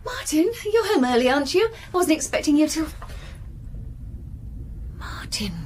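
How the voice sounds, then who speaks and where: Concerned wife, high lilting voice, affectionate, radio drama, noisy recording. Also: female voice, big pitch swings, moderate pace. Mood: surprised, concerned. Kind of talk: radio horror drama acting.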